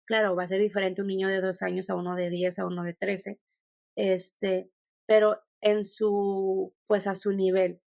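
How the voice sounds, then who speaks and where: A woman's voice: medium pitch, flat delivery, unhurried, creaky, darkish tone, no room sound, clean studio sound. A Mexican woman with a Mexican accent, a podcast guest talking thoughtfully and meandering, with many restarts. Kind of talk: conversational podcast interview.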